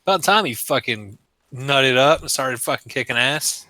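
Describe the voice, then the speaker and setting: A man's voice: mid-range voice, lively intonation, moderate pace, bright, forceful tone. A man in his early thirties chatting with a friend while gaming, crude and slangy, swearing often. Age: 30s. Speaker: friend on voice chat during a stream. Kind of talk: casual chat while gaming.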